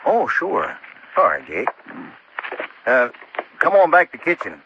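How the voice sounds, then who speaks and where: An actor playing an uneasy, defensive farmer with a rural American accent and a halting, homespun way of talking in an old radio drama. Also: male voice, medium pitch, huge pitch swings, a little hiss or hum, exaggerated voice. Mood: awkward, neighborly. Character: defensive, hesitant male farmer, a widowed murder suspect. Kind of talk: golden-age radio acting. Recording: muffled old-time radio recording.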